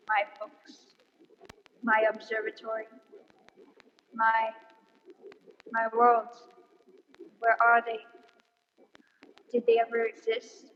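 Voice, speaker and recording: A woman's voice: fairly high pitch, fairly bright tone, a little hiss or hum. Haunted woman professor, acted in reverberant hall. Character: weary, haunted woman professor, a lone survivor. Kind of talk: dramatic monologue in radio play. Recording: reverberant hall, dull stage recording.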